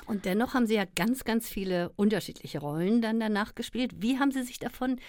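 A woman's voice: on the high side, a little fast, darkish tone, no room sound. A woman hosting an interview, putting questions to her guest in a conversational way. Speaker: radio or podcast interviewer, host.

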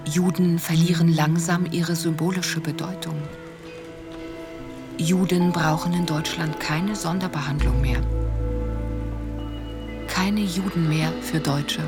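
A woman reciting scripted literary statements for a radio play, declarative and deliberately phrased. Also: on the low side, fairly even intonation. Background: music.

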